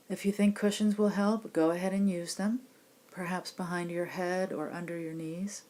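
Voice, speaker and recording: Low-pitched woman guiding a meditation. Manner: gentle, unhurried, reassuring instruction. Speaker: meditation teacher leading a class program. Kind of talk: guided body scan meditation instruction.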